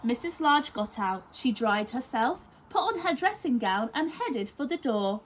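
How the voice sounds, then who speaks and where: A woman narrating a children's story expressively, with deliberate emphasis aimed at young listeners. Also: fairly high pitch, sing-song, a little hiss or hum.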